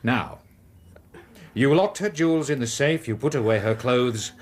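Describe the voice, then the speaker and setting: An actor playing an exasperated police interrogator in a radio drama, pressing hard with insistent questions. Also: male voice, medium pitch, lively intonation, a little slow, close to an everyday voice. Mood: probing. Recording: clear background noise.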